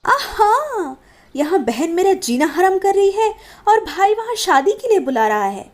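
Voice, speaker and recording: Woman as a harsh, shrill village mother-in-law; high, scolding. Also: big pitch swings, a little slow, heavily acted. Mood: scornful.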